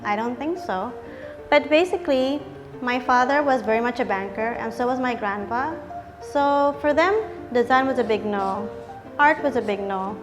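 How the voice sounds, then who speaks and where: Woman in her 30s talking thru her life story, kinda high voice, long echo, music playing under. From Indonesia. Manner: chatty, reflective, many fillers like "so" and "like". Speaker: vlogger. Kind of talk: scripted life-story narration. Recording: long reverb with music behind.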